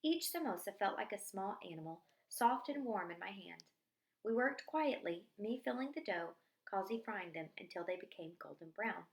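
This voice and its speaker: A woman reading a diary-style story aloud in an expressive narrating style, acting out the dialogue. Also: mid-range voice, normal speed. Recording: echoey room.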